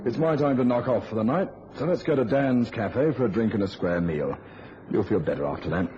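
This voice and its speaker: A man's voice: on the low side, fairly quick, lo-fi sound, lightly acted. An actor playing a kindly, down-to-earth night-beat policeman with a folksy, coaxing manner in old-time radio style. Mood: kindly, reassuring. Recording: noisy, band-limited old radio recording.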